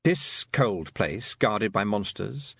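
A man voicing a girl's calm inner voice that observes and gives advice. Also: on the low side, takes it slowly, vocal fry, breathy edge, no room sound, clean studio sound, full character voice. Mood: advising. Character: calm, watchful inner voice of a girl.

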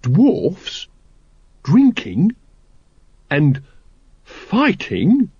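A man acting out an eager young watchman in an audiobook, pitched well above his usual voice. He sounds earnest and innocent, asking and declaring everything with emphasis. The sound is slightly dull. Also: wildly swooping pitch, drawn-out words, dark tone, slightly rough, no echo, full character voice. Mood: shocked, urgent. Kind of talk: audiobook character voice.

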